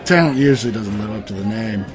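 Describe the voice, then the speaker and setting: A man's voice: fairly low pitch, quick pace, crowd noise, lightly acted. A man acting a wisecracking, skeptical adventurer who leads his band in a comic fantasy audio drama, talking casually and sarcastically. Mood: joking. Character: wisecracking, skeptical, down-to-earth male adventurer leader. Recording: music under the voice.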